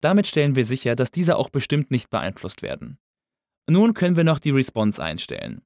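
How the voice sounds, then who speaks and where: A man narrating a scripted tutorial as an instructor, explaining things clearly and methodically. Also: medium pitch, very animated, a little fast, darkish tone, no echo, studio quality. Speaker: audio engineering instructor.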